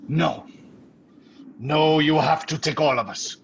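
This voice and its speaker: A man's voice: on the high side, slow pace, gravelly, lo-fi sound, slight background noise. A man playing a grave, resolute doctor in an old-time radio horror drama, earnest and commanding. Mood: solemn resignation. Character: grave, resolute male doctor facing supernatural siege.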